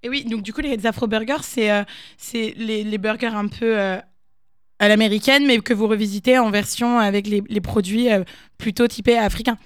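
A woman's voice: fairly high pitch, steady tone, normal speed, no room sound, clean studio sound. A woman co-hosting a radio show, asking a guest questions in a friendly, chatty way. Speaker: radio co-host. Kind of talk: radio interview.

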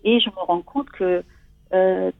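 Woman, 40s, Italian accent. Hesitant, personal, conversational guest, mid-range pitch, very dry sound. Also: unhurried, gravelly, no room sound, narrow, tinny audio. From Italy. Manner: hesitant, searching for words. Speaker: guest seeking coaching.

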